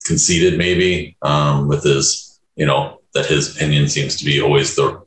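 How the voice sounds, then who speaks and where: A man with an extremely deep voice gives his opinions in a casual focus group. He talks in halting bursts, padded with fillers and restarts. His voice comes over a video call from a noticeably echoey room. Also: steady tone, fairly bright tone.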